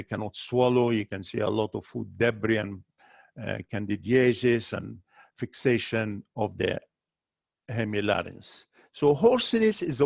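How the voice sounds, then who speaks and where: A man's voice: medium pitch, vocal fry. Man giving a medical lecture. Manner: careful, listing symptoms one by one with frequent restarts. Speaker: ENT doctor giving a webinar talk.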